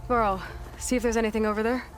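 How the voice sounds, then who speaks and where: A woman playing a determined, observant young warrior leader in a video game, briefing in a measured, breathy, matter-of-fact way. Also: a little high, level tone, music in the background, natural voice. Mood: commanding. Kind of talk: video game cutscene acting.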